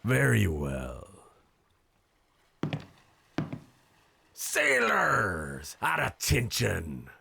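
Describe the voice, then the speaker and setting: An actor playing a resolute, commanding sea captain in a nautical audio drama, with a gravelly, raspy voice and solemn, oratorical delivery. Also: male voice, on the low side, wildly swooping pitch, very slow, noisy background, full character voice. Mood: stern, measured.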